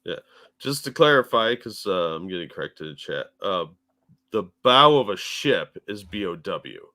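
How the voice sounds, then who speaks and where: Co-host, male, lowish voice, relaxed, swears casually, reads chat aloud. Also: measured pace. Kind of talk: casual political commentary chat.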